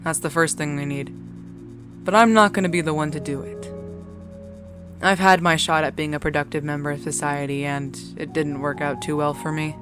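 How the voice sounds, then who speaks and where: A woman playing a low-voiced, sardonic bartender. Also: steady tone, moderate pace, over music, lightly acted. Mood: wry, musing. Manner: wry, blunt, heavy casual swearing. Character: foul-mouthed, sardonic, world-weary young bartender. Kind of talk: cinematic audio drama acting.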